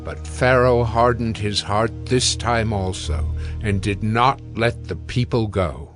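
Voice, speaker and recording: A man's voice: fairly low pitch, slow pace. A man narrating scripture from a script in a solemn storytelling style. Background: music.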